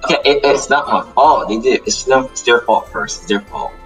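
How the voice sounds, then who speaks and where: Weary, guarded demon played by a man for anime game roleplay; halting delivery, off-mic with music behind. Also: mid-range voice, expressive, close to an everyday voice. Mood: defensive. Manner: halting, repetitive, sighing. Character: brooding male demon outcast, weary and guarded. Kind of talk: improvised Minecraft anime roleplay. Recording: distant mic under music.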